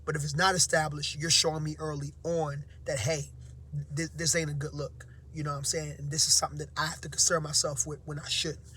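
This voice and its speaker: Man, teacher vlogger, slightly hoarse, sleepy, fairly high voice, talky with fillers. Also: level tone, fairly quick, sharp tone, a little hiss or hum. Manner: conversational, many fillers and "right?" tags. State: slightly hoarse, half-awake. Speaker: teacher and vlog host. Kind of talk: casual motivational talk to camera.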